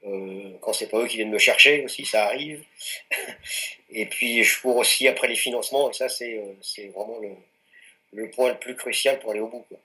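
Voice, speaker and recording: A man's voice: lowish voice, moderate pace, bright, forceful tone, rough recording. Hesitant middle-aged French man. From France, Anjou region. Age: 40s. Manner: hesitant, many fillers and restarts. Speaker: mountaineer guest. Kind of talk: casual interview answers.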